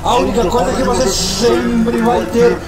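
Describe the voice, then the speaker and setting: A man's voice: extremely high pitch, measured pace. A man voicing a cruel phantom voice that torments a fighter in an audio drama. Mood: taunting. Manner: taunting, cruel. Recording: roomy echo under loud music.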